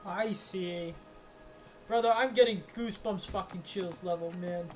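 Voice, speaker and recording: A man's voice: sits high, unhurried, soft background music. A man streaming a game, giving casual, slangy reaction commentary.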